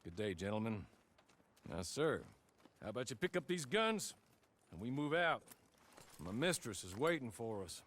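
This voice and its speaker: An actor playing a suave, courteous fixer in a video game cutscene, speaking with polished, formal diction and dry politeness. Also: male voice, medium pitch, very animated, moderate pace, pauses often, a bit bright, a little hiss or hum. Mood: calm. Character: suave, courteous middle-aged male fixer. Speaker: outlaw rescuer in a game cutscene.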